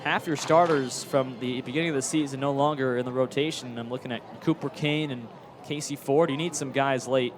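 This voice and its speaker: A man's voice: medium pitch, steady tone, brisk, music in the background. A man giving analytical baseball commentary as a color commentator. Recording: clear stadium crowd noise behind. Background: ballpark crowd.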